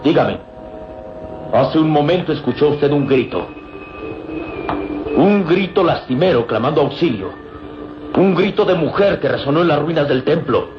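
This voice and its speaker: An actor playing an earnest, inquisitive companion of a hero in a radio serial, questioning in a formal, insistent way. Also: male voice, on the high side, lively intonation, moderate pace, pauses often, dull audio, lightly acted. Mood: insistent, suspicious. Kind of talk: vintage radio serial acting. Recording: band-limited radio recording, loud music.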